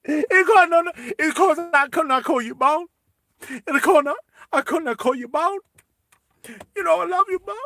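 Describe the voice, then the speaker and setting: A man's voice: extremely high pitch, moderate pace, long pauses, sharp tone, voice pushed up, exaggerated voice. A man voicing a heartbroken foreign girlfriend who pleads repetitively in broken English. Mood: wailing, heartbroken.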